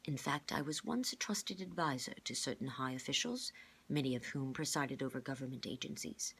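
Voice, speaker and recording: Woman playing an older male genius mentor, grave, modest, formal, low-pitched, storybook character. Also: fairly bright tone, breathy edge, heavily acted. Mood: grave, measured. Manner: measured, formal, careful wording.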